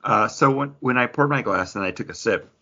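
A man's voice: mid-range voice, brisk, sharp tone. A man chatting casually as a podcast co-host, reviewing craft beer. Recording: dull lo-fi audio.

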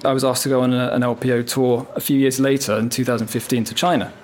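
A man in his thirties speaks in an ordinary mid-range voice as a guest in a relaxed interview. He tells long anecdotes, circles back to his point as he goes, and pokes gentle fun at himself. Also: mid-range voice, quick pace, slight background noise. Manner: chatty, anecdotal, self-deprecating. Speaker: viola player. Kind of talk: casual interview chat.

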